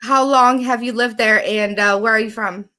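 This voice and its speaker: A woman's voice: on the high side, steady tone, moderate pace, bright, forceful tone. A woman hosting a podcast, chatting casually and laughing easily with her guest. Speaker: podcast host. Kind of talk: casual interview chat.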